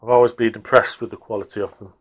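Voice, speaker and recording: A man's voice: lowish voice, level tone, fast, husky. A man presenting a hobby video, giving casual, unscripted workbench commentary in a hesitant way, as if thinking aloud.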